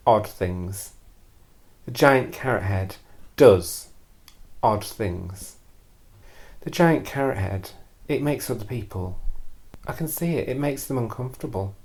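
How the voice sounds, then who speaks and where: Low-voiced man in a deadpan confessional monologue. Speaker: storyteller performing a personal monologue. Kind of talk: confessional first-person audio monologue.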